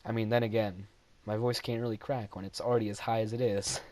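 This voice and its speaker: A young man talks over his gameplay in a loose, rambling way, laughing at his own jokes and apologizing for them. His voice sits a little low, relaxed and self-deprecating. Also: fast, dry recording. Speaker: gameplay commentator. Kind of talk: casual game commentary voice-over.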